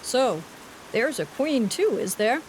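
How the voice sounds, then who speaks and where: A woman's voice: a little high, big pitch swings, takes it slowly, slight background noise, pitched above the performer's own voice, poor audio. Woman reading as a genial railroad magnate. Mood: amused. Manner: genial, amused, a bit vague. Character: good-humored young railroad magnate, man. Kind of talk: audiobook reading.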